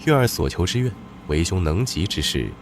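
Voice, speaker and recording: An actor playing a stern but doting older brother, a war general, who speaks firmly and protectively. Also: male voice, deep voice, very animated. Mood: resolute. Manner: firm, measured, protective. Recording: background hiss.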